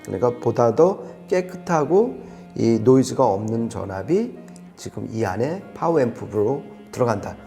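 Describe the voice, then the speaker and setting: A man's voice: mid-range voice, sing-song, normal speed, vocal fry, dark tone, soft background music. A man explaining products conversationally as an audio reviewer, with an emphatic manner.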